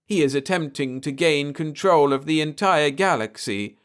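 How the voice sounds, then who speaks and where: A gentle reptilian alien captain from a pulp sci-fi story, acted out by a male reader. The voice is raised in pitch and clear, soft and slightly slurred, with formal, measured courtesy. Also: lightly acted. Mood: grave.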